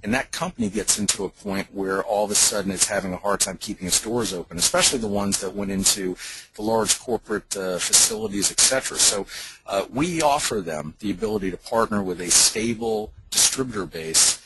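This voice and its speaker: A man's voice: fairly low pitch, animated, brisk, gravelly, pushed and bright, breathy edge. A man speaking as a company founder on a conference call, explaining his business at length like a salesman. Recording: noisy conference call line with clicks.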